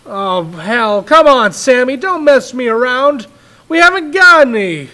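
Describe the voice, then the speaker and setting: A man's voice: very high-pitched, animated, unhurried, pushed and bright, voice pushed up, full character voice. A man playing a harried single father in a horror story reading, worn down, exasperated and emotional.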